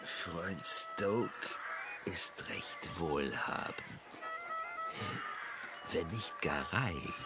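An actor playing an older, genteel English country gentleman in a German radio play, jovial, mannered and hesitant. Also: low voice, lively intonation, drawn-out words, pauses often, over loud music, exaggerated voice. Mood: grave, pompous.

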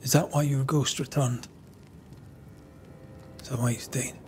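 A man's voice: mid-range voice, flat delivery, measured pace, a little mellow, faint music behind, natural voice. A man playing an earnest, troubled ghost hunter in a dramatic game, speaking plainly and sombrely. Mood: remorseful.

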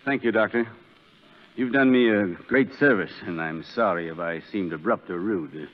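A man's voice: lowish voice, normal speed, lightly acted. A man playing an injured, secretive stranger in 1930s radio drama, with a curt, formal manner. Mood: formal, grateful. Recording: muffled, phone-like 1930s radio recording.

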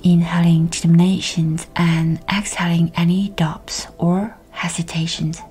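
A woman guiding a meditation in a soft, breathy, near-whispered voice with a soothing, measured delivery. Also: lowish voice, little pitch movement. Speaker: guided meditation host. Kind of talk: guided meditation, scripted narration. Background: background music.

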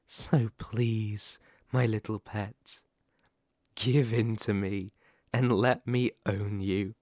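A menacing werewolf , acted out by a man, speaks right up close in a dry, intimate ASMR roleplay. He takes his time, leaving long pauses between phrases. He is sadistic and possessive, with an old wound underneath the threat. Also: medium pitch, animated, dry recording. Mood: pleading, possessive. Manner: slow, menacing, with drawn-out pauses. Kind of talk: ASMR roleplay, dramatic monologue.

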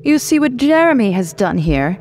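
An enthusiastic male college teacher, voiced by a woman narrator in an audio story. He is chummy and condescending, gushing emphatically in a lifted pitch. Music plays faintly behind. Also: sing-song, normal speed, a little mellow. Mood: impressed.

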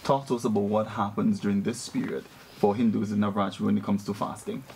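A man's voice: fairly low pitch, fast, a little hiss or hum. A young man hosting a TV studio panel, moderating and handing over to guests. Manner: steady backchannels, gravelly and flat. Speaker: host.

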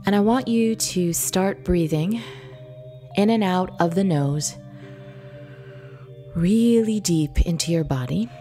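A woman with a slightly low voice guides a meditation over clearly present background music. She speaks very slowly and gently, leaving long pauses between soothing instructions.

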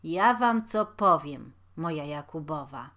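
A woman's voice: medium pitch, lively intonation, measured pace, close to an everyday voice. A woman voicing an elderly male landlord who mutters and grumbles indignantly.

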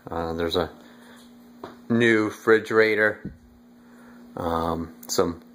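A young man giving a casual walking tour of his house, relaxed and halting with lots of fillers, recorded on a handheld phone indoors. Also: deep voice. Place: inside a house, walking room to room. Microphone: handheld phone camera, filming rooms.

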